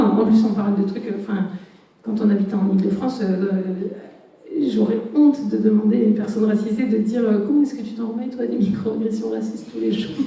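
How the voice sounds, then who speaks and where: A woman speaking as a conference panelist, emphatic and animated, often laughing as she makes her points. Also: medium pitch. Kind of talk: panel discussion talk. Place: conference hall. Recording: echoey hall, voice from far off.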